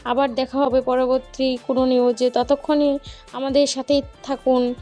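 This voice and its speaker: A woman's voice: high voice, level tone, moderate pace, warm tone, soft background music, close to an everyday voice. A woman playing a young female television news reporter in a dramatized audio story. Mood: formal, presenting.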